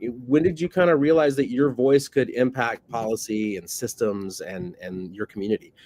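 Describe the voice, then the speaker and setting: A man's voice: medium pitch, normal speed, a little mellow. A man hosting a podcast interview, enthusiastic and complimentary, often repeating and restarting his words. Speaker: podcast host.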